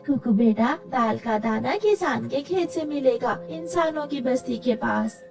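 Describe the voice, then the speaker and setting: Woman as caring, high-voiced mother bird. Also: lively intonation, measured pace, creaky, heavily acted. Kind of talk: cartoon story acting. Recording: distant mic with music behind.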